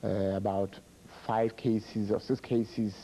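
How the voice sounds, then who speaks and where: Man from Ghana, middle-aged, very dark tone, low voice, measured and halting, repeats words. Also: level tone, a little hiss or hum, low-quality recording. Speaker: public health project director, guest. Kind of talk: explanatory interview answers.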